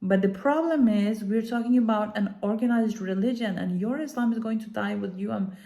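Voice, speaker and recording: Mellow-voiced woman from Iran, earnest and halting. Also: mid-range voice, gravelly. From Iran, moved to Denmark as a child. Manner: earnest, halting, deliberate emphasis. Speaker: commentator, activist speaker. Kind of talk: talking to camera, unscripted opinion commentary.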